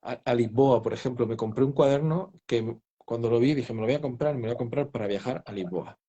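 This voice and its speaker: A man from Spain with a Granada accent, answering interview questions reflectively, with pauses and restarts, on a video call from home. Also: medium pitch, mellow, highs cut off. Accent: Andalusian Spanish from Granada. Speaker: guest author. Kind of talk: interview conversation. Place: home room.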